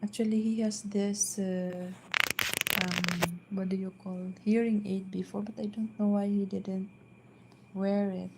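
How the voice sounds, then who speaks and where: Soft-spoken filipina lady chatting on a live stream at home, slow and flat, lots of ums, faint hum behind. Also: mid-range voice. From Philippines. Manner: hesitant, many fillers and restarts. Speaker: vlogger. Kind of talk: casual live-stream chat. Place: downstairs at home.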